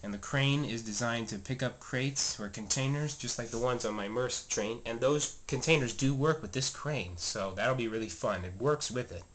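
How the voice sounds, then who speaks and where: A man's voice: mid-range voice, moderate pace, few pauses, a bit bright. Stuffy-nosed man touring his toy trains. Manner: rambling, many fillers and self-corrections. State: stuffy and scratchy-voiced. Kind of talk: casual show-and-tell narration. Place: home train room, indoors. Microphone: handheld camera mic, filming close.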